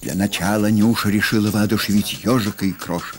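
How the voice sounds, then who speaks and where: A man reading scripted storybook narration for a cartoon, expressive and wry. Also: fairly low pitch, fairly quick. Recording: studio voice over music.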